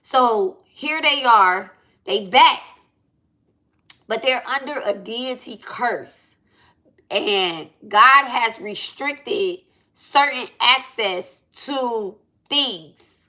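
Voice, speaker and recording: A woman in her late thirties speaks in a bright, slightly high voice. She talks unscripted to her live audience about spiritual matters, blunt and animated. She peppers it with slang and checks in with "right?" and "okay?". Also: drawn-out words. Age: 30s. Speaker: spiritual reader and channel host.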